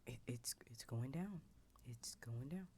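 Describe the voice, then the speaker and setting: A young American woman whispering an ASMR roleplay story in a soft, rambling way, with restarts and asides, close to a desk mic lowered near her keyboard. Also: animated, a little slow, a little hiss or hum. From United States. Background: keyboard typing sounds.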